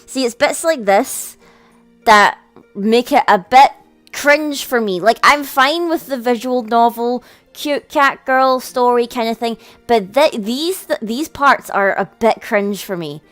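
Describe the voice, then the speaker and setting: A woman's voice: a little high, takes it slowly, a bit bright. A woman hosting a let's play, reading a visual novel aloud with casual commentary. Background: game music.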